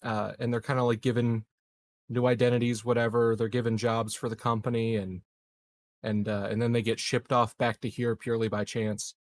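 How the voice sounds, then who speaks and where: A man's voice: mid-range voice, little pitch movement, moderate pace, dry recording. A man speculating casually as a player in a tabletop roleplaying game.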